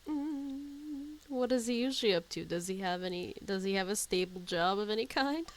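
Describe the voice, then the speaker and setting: A woman's voice: mid-range voice, animated, normal speed. A woman streamer giving casual, offhand commentary as she plays a game and reads out dialogue choices. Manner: informal, offhand. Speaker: streamer playing and reacting to a game. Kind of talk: casual gameplay commentary, reading dialogue options.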